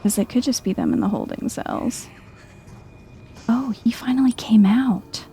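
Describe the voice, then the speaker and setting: A soft-spoken woman giving hushed, chill ASMR-style video game commentary, thinking aloud to herself. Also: fairly high pitch, unhurried, faint music behind.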